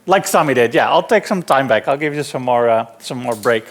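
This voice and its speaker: A middle-aged man from the Netherlands with a Dutch accent, giving a relaxed, self-deprecating technical conference talk with frequent fillers. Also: medium pitch, brisk. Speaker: conference speaker. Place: conference hall.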